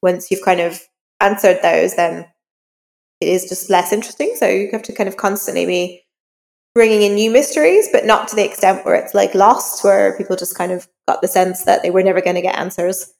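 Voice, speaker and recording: A woman's voice: mid-range voice, steady tone, a little fast, gravelly, darkish tone. Woman in a very reverberant space, conversational. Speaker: crime novelist, podcast guest. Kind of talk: conversational interview answers. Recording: reverberant hall-like echo.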